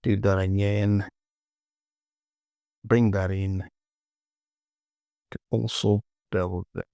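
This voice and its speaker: Slow, low-voiced man with gravelly creak. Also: level tone, warm tone, no echo. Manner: informal, muttering to himself while working, repeats words. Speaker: 3D modeling tutorial presenter. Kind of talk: casual screen-recorded software tutorial.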